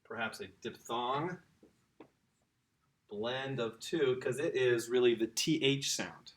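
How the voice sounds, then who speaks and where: A middle-aged man teaching a casual video lesson, relaxed and chatty, correcting himself as he writes on a board. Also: mid-range voice, takes it slowly.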